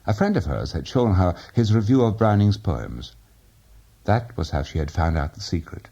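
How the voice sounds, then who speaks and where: Man, audiobook narrator, dark mellow tone, lively expressive pitch. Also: lo-fi sound. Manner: measured, expressive storytelling. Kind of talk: audiobook reading of literary fiction.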